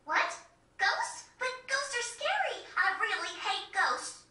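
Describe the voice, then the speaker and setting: Bossy little game girl, super high squeaky pitch, very bright, echoey. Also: very animated, slow, full character voice. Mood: frightened. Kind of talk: video game cutscene voice acting. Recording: echoey, slightly distant game audio.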